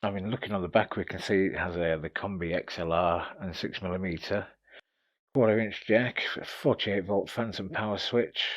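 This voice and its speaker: A man's voice: low voice, fairly even intonation, moderate pace, highs cut off. A man giving a casual, informal product review, explaining features and tips in a slightly hesitant way. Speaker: gear reviewer presenting a demo.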